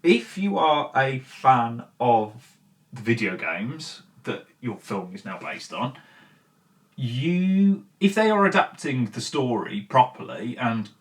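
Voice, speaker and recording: A man hosting a video channel, delivering conversational, opinionated commentary to viewers with emphatic, point-by-point repetition. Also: medium pitch. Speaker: video channel host presenting an opinion piece.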